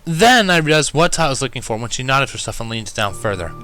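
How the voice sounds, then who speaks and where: Animated, bright-voiced man narrating a story. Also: medium pitch, fast, dry recording, soft background music. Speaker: fan fiction reader.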